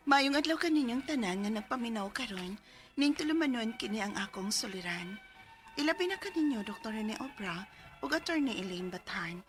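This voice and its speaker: A woman's voice: on the high side, animated, a little fast, music in the background. A young woman in her twenties with a Cebuano accent, narrating and acting her own story in a radio drama, earnest and easily alarmed. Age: 20s. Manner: earnest, then breathless and panicky in scenes.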